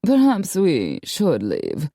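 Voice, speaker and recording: A woman's voice: low-pitched, huge pitch swings, slow pace, creaky, mellow, no room sound, studio quality, exaggerated voice. A woman narrator voicing a prim, snobbish aristocratic lady vampire with an affected, genteel, dramatic manner. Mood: fretful, genteel.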